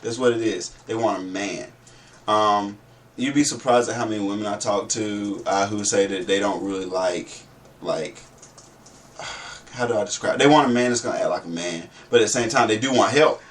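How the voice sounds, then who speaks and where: A man with a deep voice hosting a casual talk show, chatty and relaxed, laughing often. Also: moderate pace. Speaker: radio show host. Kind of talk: casual group discussion. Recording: distant mic with faint noise.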